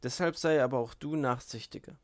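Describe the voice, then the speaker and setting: A man voicing a gentle, devout young man in an audiobook, earnest, solemn and heartfelt. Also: medium pitch, fairly quick, lightly acted. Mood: solemn, moved.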